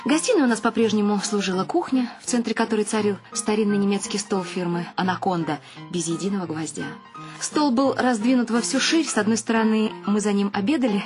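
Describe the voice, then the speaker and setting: An actress playing an expressive middle-aged mother who tells the story of her family in a radio play. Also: medium pitch, close to an everyday voice. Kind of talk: radio play narration and acting. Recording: music under the voice.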